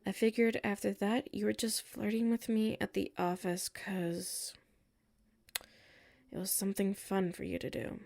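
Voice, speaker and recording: A woman's voice: mid-range voice, flat delivery, a little slow, gravelly, a little mellow. A woman playing a hurt, defensive tsundere coworker with a secret crush in a roleplay audio, exasperated and emphatic, dropping into sulky murmurs. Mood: wounded, confessional. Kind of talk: roleplay audio acting, one side of a conversation.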